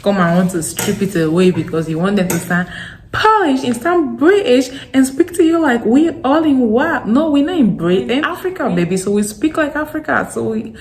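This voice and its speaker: A young woman from Nigeria's Delta with a strong Deltan accent, giving frank, animated advice to camera in a casual vlog. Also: a little high, normal speed. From Nigeria, Delta State. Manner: frank, animated, laughing asides. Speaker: vlogger. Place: home in Accra.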